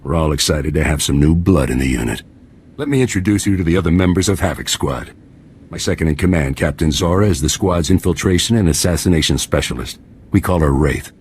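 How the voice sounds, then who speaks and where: A commanding officer of an elite game squad, very low in pitch. He speaks with assured formality and a hearty, welcoming manner. He sounds used to being in charge. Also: expressive, fairly quick, darkish tone, slight background noise. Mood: welcoming, proud. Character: confident, welcoming male special forces commander. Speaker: video game character. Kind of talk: video game voice acting.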